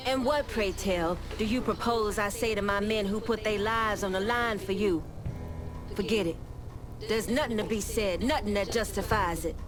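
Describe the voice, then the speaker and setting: An actress playing a proud, shrewd gang leader in a video game, commanding and sardonic. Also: female voice, mid-range voice, normal speed, music in the background, close to an everyday voice. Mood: defiant. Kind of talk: video game cutscene acting.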